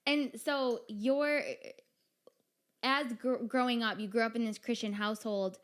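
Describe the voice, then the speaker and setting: A young woman hosting a podcast interview, affirming her guest and asking gentle questions. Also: a little high, fairly even intonation, a little slow, clear, resonant tone. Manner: warm, affirming listener, asks gentle questions. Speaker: podcast host. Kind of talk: conversational interview.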